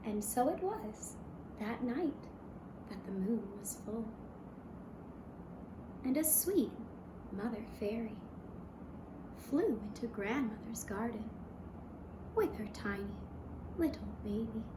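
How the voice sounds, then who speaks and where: A woman with a fairly high voice narrates a children's fairy tale at a very slow pace. She is gentle and measured, pausing between short phrases. The sound is roomy and echoing. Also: lively intonation. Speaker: puppet show storyteller. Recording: roomy echo, clear background noise.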